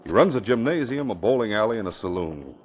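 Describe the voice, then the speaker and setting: An actor playing a wary, plain-spoken middle-aged police commissioner in 1940s radio style, measured and matter-of-fact. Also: male voice, fairly low pitch, very animated, a little hiss or hum. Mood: grim, frank. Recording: muffled old radio broadcast.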